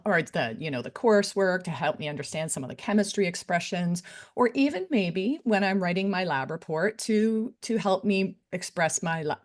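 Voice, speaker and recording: Conversational woman giving a talk. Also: mid-range voice. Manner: conversational, with fillers and tag questions. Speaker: academic integrity director giving a faculty talk. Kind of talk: conference presentation.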